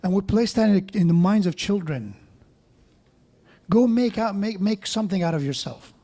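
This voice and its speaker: A man's voice: high-pitched, expressive, normal speed, warm tone. A man preaching a sermon in a mosque, conversational, with joking asides and frequent restarts.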